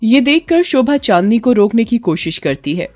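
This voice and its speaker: A woman's voice: fairly high pitch, big pitch swings, normal speed, darkish tone. Woman storyteller narrating over music. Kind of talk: scripted narration of a moral bedtime story.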